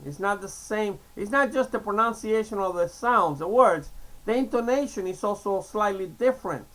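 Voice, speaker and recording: A man in his sixties from Cuba, with a notably high voice for a man, chats loosely to his camera. He wanders from point to point, restarts sentences and tosses in "okay?" to keep his viewers with him. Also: fairly bright tone, poor audio. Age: 60s. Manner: chatty, digressive, repeats "okay?" and restarts often. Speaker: vlogger. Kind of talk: casual rambling monologue to camera.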